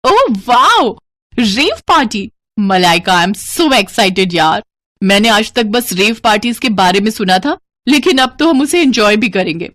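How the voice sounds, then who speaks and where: A woman's voice: mid-range voice, expressive, measured pace, fairly bright tone, no echo. A woman voicing a spoiled, insolent young daughter-in-law in a cartoon story, with a whiny way of talking. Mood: excited. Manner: whiny, insolent, mixes in English slang. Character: brash spoiled young modern daughters-in-law, bratty and defiant. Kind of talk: acted cartoon story dialogue.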